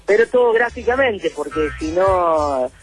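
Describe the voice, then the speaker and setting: A man from Córdoba with a Cordobés accent, calling into a radio show in a playful way. His voice is high enough to be taken for a woman's. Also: expressive, unhurried, soft background music. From Córdoba, Argentina. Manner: good-humoured, playful. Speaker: caller.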